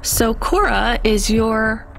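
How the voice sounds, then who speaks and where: An actress playing a sceptical, independent young delivery driver in a video game, matter-of-fact and guarded. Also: female voice, a little high, animated, slow, vocal fry, a bit bright, a little hiss or hum, exaggerated voice. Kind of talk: naturalistic video game dialogue.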